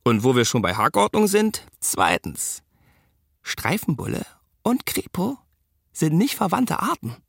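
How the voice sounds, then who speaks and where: A man's voice: fairly high pitch, wildly swooping pitch, a little slow, vocal fry, a bit bright, breathy edge, no echo, clean studio sound, full character voice. An actor playing a wry, streetwise male narrator, telling a police radio drama in colloquial, laconic, sardonic style.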